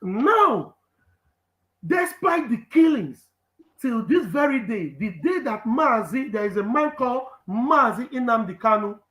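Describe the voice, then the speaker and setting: A man's voice: extremely high pitch, big pitch swings, a little slow, a bit bright, roomy sound, low-quality recording. A man with a Nigerian accent, a native Urhobo speaker, giving an impassioned live speech that repeats phrases for emphasis. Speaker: activist speaker.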